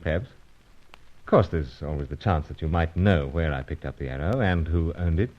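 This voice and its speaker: An actor playing a suave, dry British secret agent with a clipped, understated manner in a radio serial. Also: male voice, rumbling low, moderate pace, dull audio. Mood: teasing, probing. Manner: clipped, drops small words, understated. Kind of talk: old-time radio serial acting and narration.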